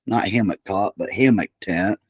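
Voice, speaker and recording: A man's voice: fairly low pitch, moderate pace, husky, no echo. A man with a Midwestern American accent hosting a casual podcast, talking in an easygoing, rambling way with some casual swearing. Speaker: podcast host. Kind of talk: casual chat.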